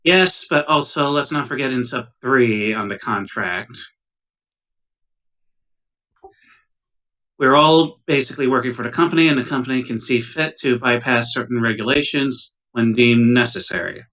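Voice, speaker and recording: A man playing an assertive starship medical officer in a tabletop game, brisk and matter-of-fact. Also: medium pitch, a bit bright, natural voice. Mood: firm.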